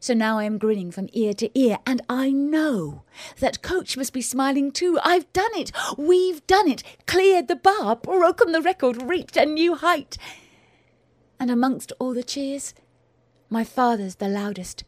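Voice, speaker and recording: A woman's voice: high-pitched, lively intonation, no echo. Intense woman narrating, dry and close. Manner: intense, measured, drops to hushed whispers. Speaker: writer and performer. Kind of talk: first-person audio drama narration.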